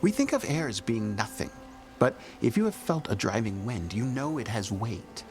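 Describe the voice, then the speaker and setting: An actor playing a gentle Qunari man, speaking in a measured, formal way. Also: medium pitch, big pitch swings, close to an everyday voice. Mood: calm. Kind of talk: video game cutscene dialogue. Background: music.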